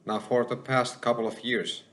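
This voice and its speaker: A man with a Finnish accent, hosting his own channel and talking alone to the camera, slow and halting, with many pauses. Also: medium pitch, little pitch movement, a bit bright. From Finland. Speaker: channel host. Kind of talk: solo spoken monologue.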